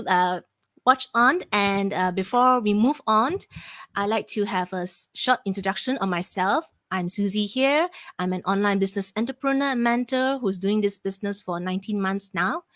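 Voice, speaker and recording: A woman's voice: medium pitch, fairly bright tone, no echo. Young woman hosting, close dry sound. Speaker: interview host, online business mentor. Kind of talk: casual live-stream interview hosting.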